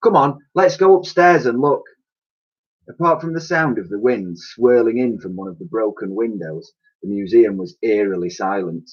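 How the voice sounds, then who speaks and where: A man reads a children's story aloud with dramatic flair. His voice is slightly rough and swings widely in pitch. The recording is a little dull and lo-fi. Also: darkish tone. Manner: dramatic, with occasional stumbles. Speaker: primary school teacher reading aloud. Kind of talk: expressive children's storybook reading.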